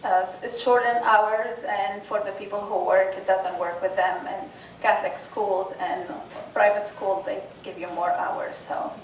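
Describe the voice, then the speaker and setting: A woman's voice: mid-range voice, little pitch movement, a little slow. A woman from Tunisia, speaking with many fillers and restarts as a guest speaker at an informal class presentation. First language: Arabic and French. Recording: echoey room from a distance, faint hiss.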